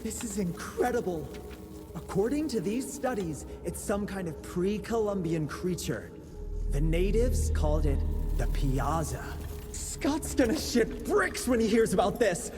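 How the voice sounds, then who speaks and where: A jittery young genius engineer in a game cutscene, his lively voice leaping up and down as he rambles and stammers. Loud music plays behind him. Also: sits high, measured pace. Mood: nervous. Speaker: inventor character in a video game.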